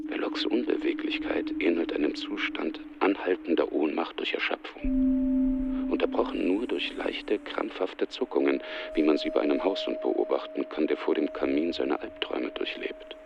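A man narrating a scripted radio drama in a measured, literary delivery. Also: very deep voice, creaky, lo-fi sound, very noisy.

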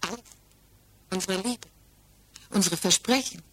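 A self-possessed widow with a medium-pitched voice, answering directly and without apology. She is composed and defiantly devoted, in the acting style of a 1960s radio crime drama. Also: unhurried, a bit bright, slightly rough. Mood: devoted, firm.

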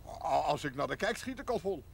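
A man's voice: fairly high pitch, sing-song, brisk, gravelly, slight background noise. A man playing a jolly, blunt old village man, teasing and folksy, in a television drama. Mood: jovial teasing. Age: older. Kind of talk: television period drama acting.

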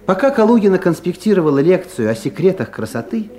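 Male narrator, vintage radio comedy; wry, animated delivery, warm dark timbre. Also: high voice, fast. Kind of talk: wry scripted comic narration for 1970s radio play.

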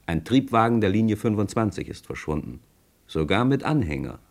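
An actor as a wry storyteller, narrating a radio play vividly in the present tense. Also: male voice, lowish voice, big pitch swings, a little fast.

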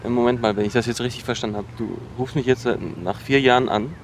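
Faint ambient noise sits behind an actor playing an awkward, defensive young man in a naturalistic radio play. His medium-pitched voice halts, repeats and overshares. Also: steady tone, lightly acted. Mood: wary, incredulous. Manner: halting, repeats himself. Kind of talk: naturalistic semi-improvised radio drama. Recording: noisy location recording with ambient hum.

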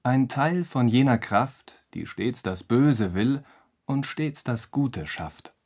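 A man's voice: medium pitch, lively intonation, slow, a little mellow. A man announcing solemnly and dramatically in a radio feature, with weighty, portentous phrasing.

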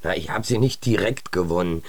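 An actor playing an evasive sixteen-year-old son in a radio drama, halting and defensive, stammering when cornered. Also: male voice, deep voice. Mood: sheepish.

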